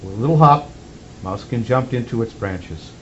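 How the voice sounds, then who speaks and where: A man playing Santa Claus reads a children's storybook aloud in an expressive, measured way. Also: mid-range voice. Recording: muffled lo-fi sound with faint background noise.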